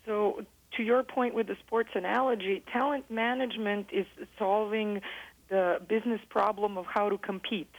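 A woman from Serbia with a Serbian accent, a business psychologist answering interview questions in a measured, articulate way. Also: medium pitch, fairly even intonation, dull audio.

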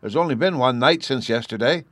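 A man's voice: mid-range voice, fairly quick, a bit bright, no echo, voice pushed up, natural voice. A man doing a lisping little boy. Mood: matter-of-fact. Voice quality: childish lisp, says oo for you. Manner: insistent, bouncy. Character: little boy, lisping baby talk, stubborn and cheeky.

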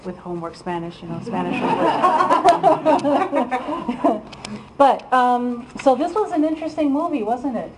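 A woman's voice: high voice, expressive, a little slow, background noise. A woman from Iowa giving a chatty, informal talk to an audience as a guest speaker, with frequent ums and laughs. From Iowa, USA.

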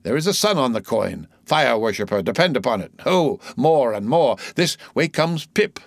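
A man's voice: medium pitch, very animated, normal speed, vocal fry, dry recording. Guy doing a wry old sailor from a storybook, muttering theatrical asides, dry close sound. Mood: pitying.

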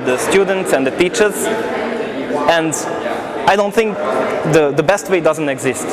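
A busy public crowd surrounds a young man with a Hungarian accent and a fairly high voice. He gives an informal student testimonial, pausing and repeating words as he looks for the right ones. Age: young adult. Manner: hesitant, searching for words, repeating himself. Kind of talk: informal on-camera interview.

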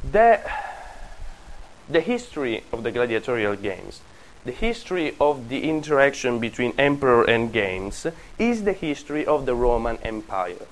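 A man's voice: medium pitch, a little hiss or hum, poor audio. Italian guy lecturing a class, rambles off on tangents, fixes his own words mid sentence. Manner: digressive, self-correcting, jokey asides to students. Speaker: university lecturer.

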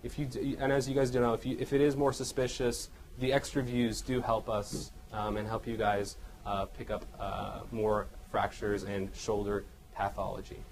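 Halting male medical lecturer, noisy recording. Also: on the low side, little pitch movement. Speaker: radiologist presenting cases to clinicians. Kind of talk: medical case lecture with questions to the audience.

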